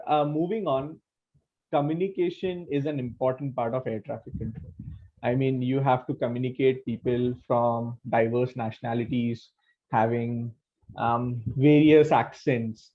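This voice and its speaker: The recording is a little dull and lo-fi. A man with an Indian accent hosts a podcast interview at a mid-range pitch, speaking conversationally with some halting and slipping between English and Hindi. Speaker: podcast host.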